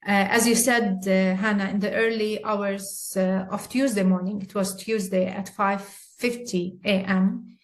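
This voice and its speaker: Woman, Palestinian Arabic accent, halting with fillers, unhurried. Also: medium pitch, fairly even intonation. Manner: slow, halting, many fillers. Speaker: guest speaker, mother. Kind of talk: webinar testimony.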